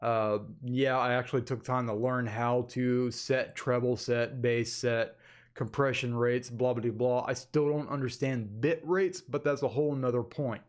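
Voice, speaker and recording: A man's voice: mid-range voice, fairly even intonation, unhurried. A man with a mid-range voice hosts a casual webcam update for his channel. He talks informally and straight to his viewers, as if chatting with them. He is recorded indoors in an office on a desktop USB microphone. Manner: informal, addresses viewers as "guys" repeatedly. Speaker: animation channel host. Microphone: desktop USB condenser microphone.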